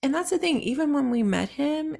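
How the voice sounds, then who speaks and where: A woman co-hosting a casual podcast, slightly hoarse and quick to agree with her friend. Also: sits high, lively intonation, warm tone. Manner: supportive, lots of agreeing murmurs. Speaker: podcast co-host. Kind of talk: casual chat.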